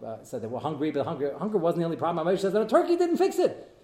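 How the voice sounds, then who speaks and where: A man teaching a Torah class in a lively way, reading out Hebrew texts and explaining them to students. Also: a little high, very fast, roomy sound. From United States.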